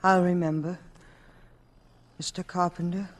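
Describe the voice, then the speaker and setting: A woman's voice: on the low side, level tone, a little slow. A woman acting an earnest, frightened woman in an old radio drama, breathless and melodramatic in her delivery. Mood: tender.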